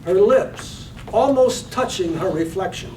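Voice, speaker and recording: A storyteller, played by a man, narrates a poetic play in measured phrases. The voice is high for a man and slow. It sounds distant, with room echo. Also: animated, darkish tone. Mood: lyrical, measured. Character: lyrical storyteller of a small village's morning. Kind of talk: poetic scripted narration, read on stage. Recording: echoey room, distant mic, faint noise.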